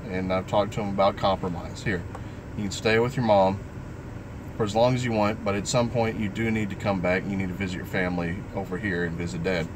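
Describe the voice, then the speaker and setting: Low-voiced man talking reflectively, noisy recording. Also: flat delivery, fairly quick. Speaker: vlogger. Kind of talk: personal vlog talk, live stream.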